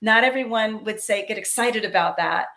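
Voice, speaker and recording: A woman's voice: mid-range voice, normal speed, gravelly, bright, forceful tone. A woman mentor giving earnest, encouraging advice in a casual panel chat over a video call. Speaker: mentor expert.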